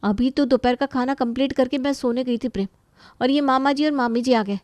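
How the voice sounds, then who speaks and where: A woman's voice: sits high, steady tone, a little fast, a little mellow, dry recording, pitched above the performer's own voice, close to an everyday voice. A woman voicing a young, overworked, resentful daughter-in-law who complains in exasperation in an Indian family story. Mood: exasperated complaining.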